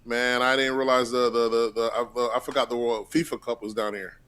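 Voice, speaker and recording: A man in relaxed podcast chat with a middle-range voice. He talks loosely and profanely, often restarting sentences mid-thought as he jokes with friends. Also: mid-range voice, brisk, clear, resonant tone. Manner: loose, laughing, swearing banter with frequent restarts. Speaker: podcast co-host. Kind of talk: casual chat.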